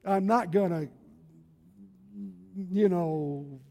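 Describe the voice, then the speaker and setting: A man's voice: high voice, extremely slow, warm tone. A man of about seventy, preaching a fervent evangelical sermon in an exhorting, emphatic way, repeating phrases for weight. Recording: reverberant hall with long echo.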